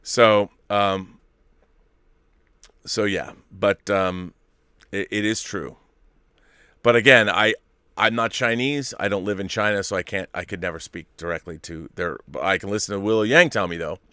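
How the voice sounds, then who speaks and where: A man's voice: lowish voice, measured pace, pushed and bright, clean tone, dry recording, clean studio sound. A middle-aged man hosting a live stream, reading viewer messages and rambling through opinions with many restarts. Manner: rambling, self-interrupting, opinionated. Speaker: host reading viewer super chats. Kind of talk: casual live-stream commentary.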